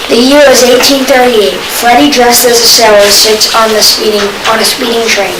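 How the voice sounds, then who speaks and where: Halting female student reader in echoey room. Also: a little high, little pitch movement. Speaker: student performer. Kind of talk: scripted readers theater, read aloud. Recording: noisy echoey room, distant mic.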